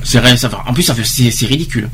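A man hosting an informal radio discussion, talking haltingly and repeating himself for emphasis. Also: mid-range voice, fairly bright tone. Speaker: radio host. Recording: clear background noise.

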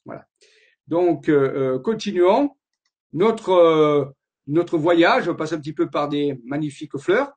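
A man's voice: a little high, animated, slow. A man giving an earnest slide-show lecture, wandering from point to point and often repeating his words as he searches for them.

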